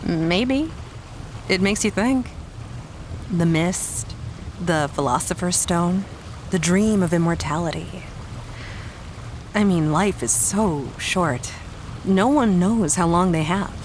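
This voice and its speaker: A woman's voice: on the low side, expressive, takes it slowly, pauses often, slight background noise. A woman playing a thoughtful, teasing, affectionate adventurer in an audio drama, speaking in a hesitant, reflective, conversational way. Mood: musing. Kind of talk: fan audio drama acting.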